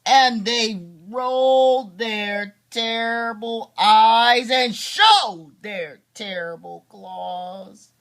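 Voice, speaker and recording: A man reading a children's story aloud as a father, with theatrical, drawn-out emphasis and chatty asides. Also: very high voice, fairly bright tone.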